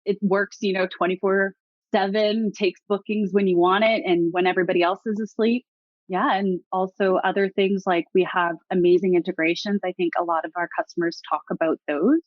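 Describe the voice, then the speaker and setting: A woman's voice: mid-range voice, fairly even intonation, fairly quick, no room sound, lo-fi sound. A woman who works in customer success, answering in an upbeat, promotional way. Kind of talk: casual interview answer.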